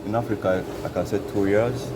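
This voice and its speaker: A man from Congo who grew up in Uganda, a bus mechanic answering questions in a halting way, often restarting and adding "you know". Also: fairly low pitch, steady tone, noisy background. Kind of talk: casual interview answers. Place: large vehicle workshop.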